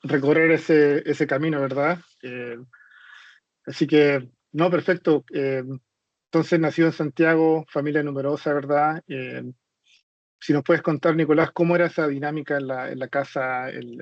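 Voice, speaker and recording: A man hosts a casual interview in a slightly high voice with little melodic movement. He is polite and informal. The sound is dry and a little dull. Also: steady tone, moderate pace, no echo. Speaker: podcast host.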